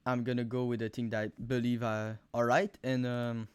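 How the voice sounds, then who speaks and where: A young man with a thick Quebec French accent giving rambling, profane fight predictions on camera, full of fillers and sudden animated outbursts. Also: mid-range voice, moderate pace, smooth, no room sound. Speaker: MMA video host. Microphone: camera mic, close.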